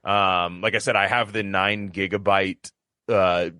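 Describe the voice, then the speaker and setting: A man with a deep but forceful, bright voice talks casually on a podcast. He rambles through his story in loose slang, doubling back on his words, recorded close and dry. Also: steady tone, unhurried, clear, resonant tone, no room sound. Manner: rambling, slangy, repeats himself.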